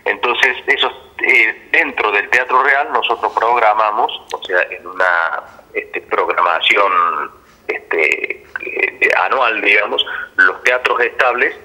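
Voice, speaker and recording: A man's voice: fairly low pitch, slow pace, sharp tone, rough recording. A middle-aged Argentine man answering interview questions as a theatre director, explaining things over a radio phone link.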